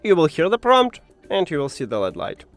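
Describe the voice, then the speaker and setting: Tutorial presenter: non-native English male, fairly high voice, music underneath. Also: wildly swooping pitch, brisk. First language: not English. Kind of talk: step-by-step spoken how-to instruction.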